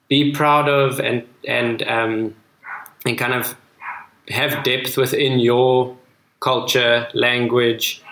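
A man's voice: mid-range voice, a bit bright. Earnest Afrikaans-speaking man, echoey room. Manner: earnest, thoughtful, frequent restarts. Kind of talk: reflective casual discussion.

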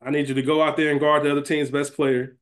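A man's voice: a little high, level tone, fast talker, fairly bright tone. Casual, slangy man podcasting. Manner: informal, slangy, with some swearing. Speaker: podcast co-host. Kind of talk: casual chat; fantasy draft discussion of a TV show.

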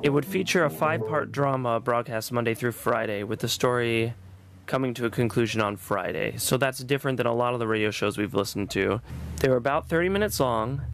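A man's voice: medium pitch, fairly quick, fairly bright tone, faint music behind. A man hosting a podcast in a conversational presenting style. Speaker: podcast host.

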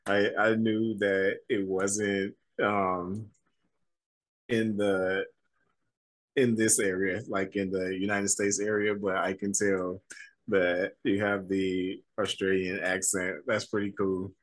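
A man's voice: low voice, little pitch movement, slow pace, poor audio. A man interviewing a guest, asking questions in a friendly, affirming way with frequent "mm-hmm" and "okay".

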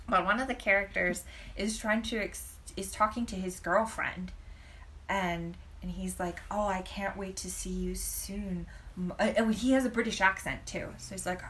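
A woman in casual podcast conversation, telling stories in an animated way and laughing often. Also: mid-range voice. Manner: giggly, animated storytelling with frequent laughs. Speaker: podcast co-host. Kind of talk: casual chat.

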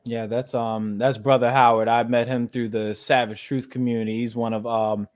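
A man's voice: medium pitch, normal speed. An American man hosting a livestream, chatting casually in a slangy, joking way. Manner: slangy, joking, animated when quoting others. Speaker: host of a livestream dialogue show. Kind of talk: casual chat.

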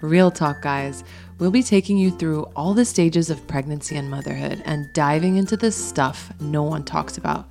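Lowish-voiced woman co-hosting a podcast. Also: moderate pace, creaky, darkish tone, soft background music. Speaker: podcast co-host.

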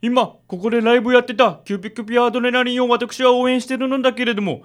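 A nerdy male idol superfan in a radio drama. His voice is strikingly high. He speaks in stilted, quaintly courteous sentences that run long. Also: steady tone, rapid-fire. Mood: stiff, obliging. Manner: quaint, stilted, long-winded formal phrasing. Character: nerdy, stiffly polite male idol fan.

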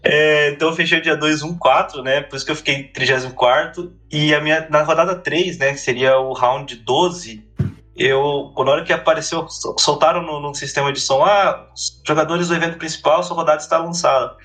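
A man, chatting casually on a podcast, telling a relaxed story full of slang and restarts. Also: fairly high pitch, fairly quick. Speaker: podcast co-host, competitive card player.

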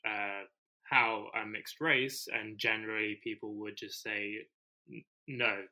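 Bright-voiced thoughtful man. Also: fairly low pitch, little pitch movement, low-quality recording. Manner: measured, thoughtful explaining. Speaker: podcast co-host, teacher. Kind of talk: casual conversation.

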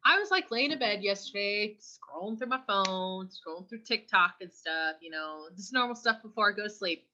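Woman in her twenties, from California, podcast co-host, casual slangy talk, frequent throat clearing, bright tone, lo-fi dull recording. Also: mid-range voice, expressive, normal speed, hardly any pauses. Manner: chatty, slangy, swears freely. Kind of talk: casual chat. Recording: muffled lo-fi recording.

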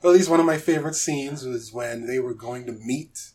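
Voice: medium pitch, brisk, a little mellow. A podcast co-host talking casually and playfully, acting out bits of dialogue while chatting as a fan. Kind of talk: casual fan discussion with acted-out quotes.

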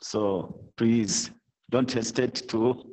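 A man's voice: mid-range voice, fairly even intonation, slow pace, low-quality recording. A man from Tanzania who founded a charity, speaking as a guest with repeated thanks. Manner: warm thanks, repetitive. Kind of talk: grateful remarks on a call.

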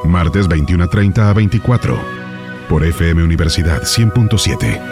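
A man's voice: low-pitched, normal speed. A man reading a scripted radio promo as a station announcer. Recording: loud guitar music over voice.

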